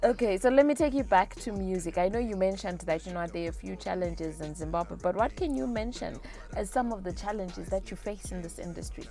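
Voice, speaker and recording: A woman's voice: mid-range voice, fairly quick, dark tone, soft background music. A woman hosting an interview, asking questions in an upbeat, encouraging way. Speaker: interviewer, host.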